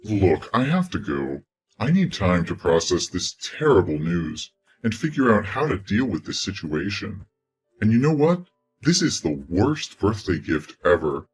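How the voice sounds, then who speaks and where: A man's voice: sits low, sing-song, unhurried, slightly rough, faint music behind, full character voice. An actor in his twenties playing a selfish, career-focused young man who speaks in a defensive, dismissive way. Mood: cold. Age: 20s. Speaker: reluctant boyfriend. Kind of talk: scripted relationship drama.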